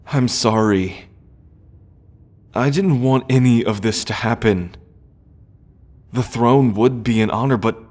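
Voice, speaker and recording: A man playing an earnest, sorrowful young prince in a fantasy audio roleplay, speaking gently and formally with weighty pauses. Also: fairly low pitch. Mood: regretful.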